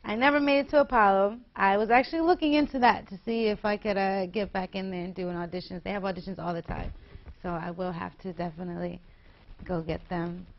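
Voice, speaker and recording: A woman's voice: medium pitch, fairly even intonation, fairly quick, muffled recording. A woman in her twenties, a singer being interviewed, chatting in a bubbly way and laughing often. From New York. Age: 20s. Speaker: guest singer. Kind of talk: casual interview.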